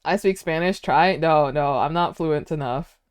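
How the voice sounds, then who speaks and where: This is a young woman with an American accent, chatting with her viewers in a smooth, clear voice. She giggles a lot and often stops to restart a sentence. The sound is dry and close to the mic. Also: deep voice, a little slow, no room sound. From United States. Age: young adult. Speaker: streamer. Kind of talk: casual chat with viewers.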